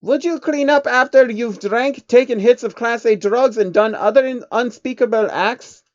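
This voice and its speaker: A man's voice: extremely high pitch, moderate pace, no room sound, highs cut off, pitched above the performer's own voice. A man playing a sly male baron of a secret society in a breezy, teasing manner. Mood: amused.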